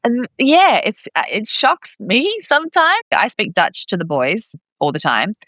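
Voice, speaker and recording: Female podcast guest, chatty and expressive, bright forward voice, dry close recording. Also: a little high, unhurried, dry recording. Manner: chatty, expressive, acts out reported dialogue. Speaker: podcast guest, expat parent. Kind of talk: casual conversational interview.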